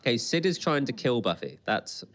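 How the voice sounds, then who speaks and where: A man co-hosting a podcast, giving casual, chatty, excitable running commentary as he watches an episode. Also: medium pitch, normal speed, heavy background noise. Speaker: podcast co-host.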